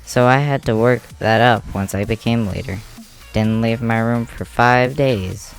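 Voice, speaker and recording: A man's voice: mid-range voice, a little slow, creaky. Man acting stubborn, chatty clan boss over music. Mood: disdainful. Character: male clan leader, bedridden, stubborn, polite workaholic. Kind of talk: amateur comic dub acting. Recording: music behind the voice.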